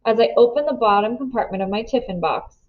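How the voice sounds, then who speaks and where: A woman reading a children's novel aloud with expressive, first-person storybook narration. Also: on the high side, fast talker, narrow, tinny audio. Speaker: storybook reader.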